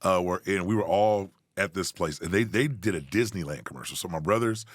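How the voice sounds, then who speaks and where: Deep-voiced American man, close dry sound. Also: a little fast, no echo. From Southern California, USA. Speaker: pastor, podcast guest. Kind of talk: casual interview chat.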